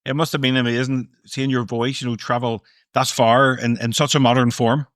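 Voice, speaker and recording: A man's voice: medium pitch, flat delivery, normal speed, a bit bright, dry recording, studio quality. A man hosting a podcast, asking long questions and giving short reactions. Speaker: podcast host. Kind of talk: interview questions.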